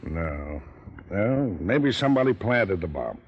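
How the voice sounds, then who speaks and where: An actor playing a practical police lieutenant in an old radio crime drama, businesslike and dryly needling. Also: male voice, deep voice, sing-song, slow pace, muffled recording, full character voice. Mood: questioning. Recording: noisy old radio recording.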